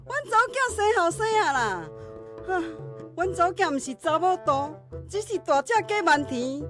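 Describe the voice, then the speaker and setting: A woman's voice: very high voice, very animated, normal speed, fairly bright tone, soft background music, heavily acted. An actress playing a proud old maternal grandmother who crows in Taiwanese Hokkien, in a radio drama. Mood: proud, gleeful. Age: older. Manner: crowing, exclamatory.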